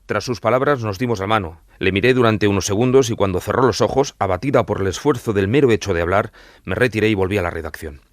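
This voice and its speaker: A male journalist giving scripted true-crime narration in a measured, formal storytelling manner. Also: lowish voice, no room sound.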